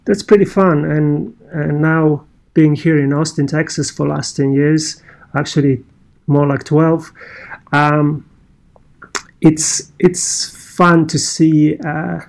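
A Polish-accented man with a somewhat raised voice for a man tells his story in an easy, conversational way. He talks haltingly, repeats words, and restarts his sentences as he goes. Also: a little high, fairly even intonation, gravelly, darkish tone. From Poland. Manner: halting, many restarts and fillers.

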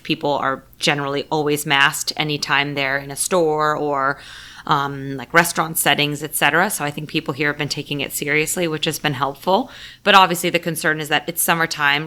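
Lady podcast host, bright voice kinda on the lower side, chatty and gushing, super informal. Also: smooth. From United States. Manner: effusive, informal. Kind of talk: casual chatty interview.

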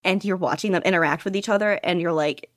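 A woman's voice: on the low side, fast, no echo, studio quality. A woman hosting a casual podcast chat, talking enthusiastically with lots of fillers and laughter. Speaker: podcast host.

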